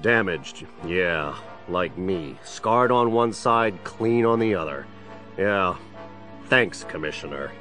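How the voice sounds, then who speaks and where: An actor playing a tormented district attorney cracking into a villain, brooding and theatrical, in a superhero audio drama. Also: male voice, sits low, lively intonation, takes it slowly, fairly bright tone, faint music behind, exaggerated voice. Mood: bitter. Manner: brooding, theatrical, dragging out words. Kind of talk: full-cast superhero audio drama acting.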